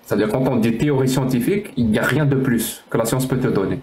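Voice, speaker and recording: A man hosting a live call-in debate stream, teasing callers and cutting in quickly. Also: mid-range voice, a little mellow. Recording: roomy with faint noise.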